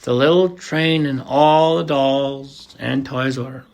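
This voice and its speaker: A man reading a children's picture book aloud as an amateur audiobook, slow and deliberate. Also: medium pitch, steady tone, vocal fry. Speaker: audiobook reader.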